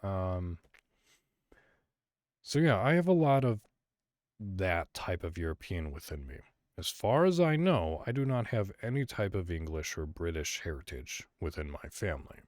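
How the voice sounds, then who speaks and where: A man's voice: low-pitched, big pitch swings, unhurried, a little mellow, dry recording, studio quality. A man from Illinois hosting a solo podcast, talking casually and rambling with frequent fillers and restarts. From Illinois, USA. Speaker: podcast host.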